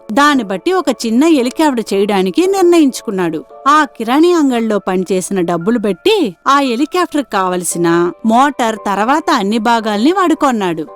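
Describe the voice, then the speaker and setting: A woman narrating a scripted moral story as its storyteller. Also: fairly high pitch.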